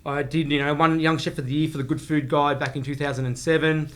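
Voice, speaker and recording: A man's voice: fairly high pitch, level tone, fairly bright tone. An Australian man in his forties, a chef telling his career story as a podcast guest, rambling with frequent restarts. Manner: rambling, restarts often, speaks in quick bursts. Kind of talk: casual storytelling interview.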